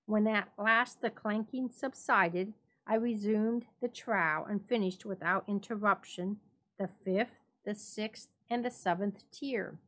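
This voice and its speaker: Woman, story reader, medium pitch, dramatic scripted narration. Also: unhurried, fairly bright tone. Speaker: library staff story reader.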